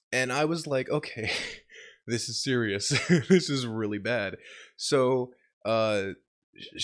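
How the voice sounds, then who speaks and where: Sick young man, swearing, rambling vlog. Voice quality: deeper voice than usual from being sick. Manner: swears and laughs often, rambling and exasperated. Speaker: channel host. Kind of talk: casual vlog update.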